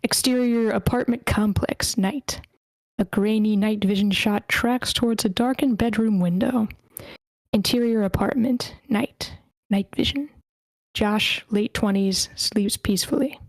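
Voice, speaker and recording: A woman's voice: mid-range voice, measured pace, no room sound, rough recording. A woman hosting an online table read, casually organizing and reading screenplay stage directions aloud. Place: online meeting.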